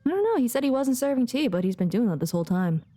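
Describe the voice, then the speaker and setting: A woman's voice: fairly high pitch, sing-song, fast, mellow, dry recording, soft background music. A woman giving casual, joking, laid-back commentary as she streams a game.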